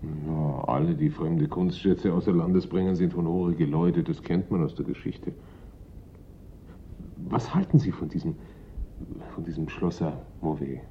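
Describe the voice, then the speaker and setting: An actor in a German radio drama playing a detective's wry confidant, with a dry, ironic, understated manner. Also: male voice, a little slow. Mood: dry irony. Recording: dull old radio recording, faint rumble.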